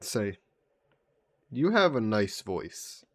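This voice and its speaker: A man voicing a vain sea monster who poses as a mannered gentleman in a roleplay audio drama, speaking in suave, formal phrases. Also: lowish voice, sing-song, very slow, no room sound, full character voice. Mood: flirty, curious. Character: flirty, vain sea monster posing as a gentleman traveler, turning menacing.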